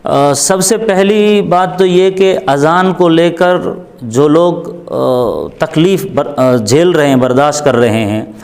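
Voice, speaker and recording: Man, slightly high pitch, deliberate explanations, emphatic repetition, cleric in an interview. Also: animated, a little mellow. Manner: deliberate, repeats phrases for emphasis.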